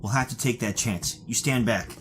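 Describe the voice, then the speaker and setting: An actor playing an anxious, protective hero in an old-time radio thriller, earnest and hesitant. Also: male voice, medium pitch, moderate pace, heavy background noise, natural voice. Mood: tense, uncertain. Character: anxious, protective young male hero trapped with his sweetheart. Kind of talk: 1930s-style radio thriller acting.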